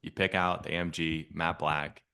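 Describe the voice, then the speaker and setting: Low voiced guy on a sales call, keeps digging with questions, swears a bit. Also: level tone, moderate pace, creaky, pushed and bright, smooth. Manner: probing, persistent questioning, casual swearing. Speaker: sales coach.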